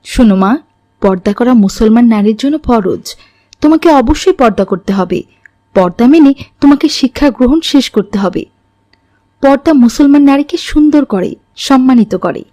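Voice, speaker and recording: A woman's voice: fairly high pitch, expressive, moderate pace, dark tone, no room sound, lightly acted. A pious middle-aged mother from a moral-tale cartoon, acted by a woman. She is strict but caring, and delivers her advice like a lesson, preachy and admonishing. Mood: gentle, instructive. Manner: preachy, instructive.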